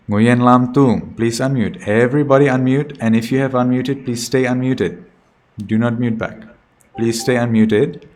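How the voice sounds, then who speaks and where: A man leads an online class for children, speaking slowly and deliberately. His pitch sits in the middle range, and each instruction comes out patient and clear over the video call. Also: darkish tone. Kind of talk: calling roll on a video call.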